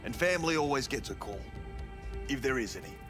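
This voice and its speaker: A man's voice: mid-range voice, expressive, fairly quick, over music, close to an everyday voice. An actor playing a sleazy, cynical male brothel manager in a cyberpunk video game, talking in crude, dismissive slang. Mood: wry, defensive. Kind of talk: video game dialogue acting.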